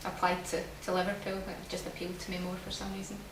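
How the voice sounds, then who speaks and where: A young Scottish woman answers questions in a steady, very flat voice that sits a little low. She sounds distant and echoey, with clear noise behind her. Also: fast. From Glasgow, Scotland. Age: young adult. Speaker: student interviewee. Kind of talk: casual interview answers. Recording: echoey room, from afar, noisy.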